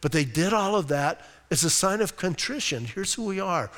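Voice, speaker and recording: A male pastor preaching a conversational, emphatic sermon. He asks rhetorical questions and reads scripture slowly and deliberately. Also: high-pitched, animated, fairly bright tone. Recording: reverberant hall sound.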